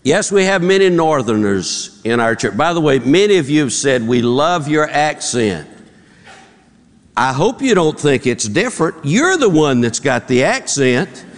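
A man's voice: fairly high pitch, very animated, a little slow. A man with a Southern accent preaching to his congregation, telling folksy jokes in a drawl and acting out the voices in them. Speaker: pastor. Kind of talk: sermon with folksy joke-telling. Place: church auditorium. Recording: long reverb in a large hall.

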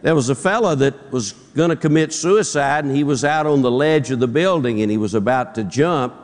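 A man's voice: medium pitch, fairly quick. A man with a Southern US accent preaching informally, folksy and joking, repeating phrases as he tells anecdotes. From southern United States. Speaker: pastor, guest conference preacher. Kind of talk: informal, anecdotal sermon to an audience. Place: large auditorium before a congregation. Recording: reverberant large hall.

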